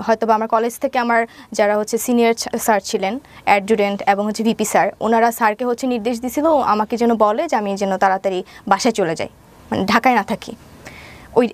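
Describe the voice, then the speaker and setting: High-voiced young Bangladeshi woman. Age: young adult. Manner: mixes English words into her speech. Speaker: interview guest. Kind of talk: personal account in an interview.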